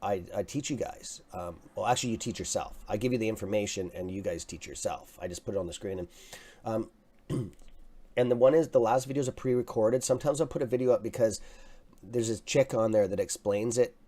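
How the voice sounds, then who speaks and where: A man with a raspy voice hosting a live stock market stream, talking casually and excitably to his viewers. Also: lowish voice, a little fast. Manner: excitable, swears, talks to chat as "guys". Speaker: livestream stock trading host.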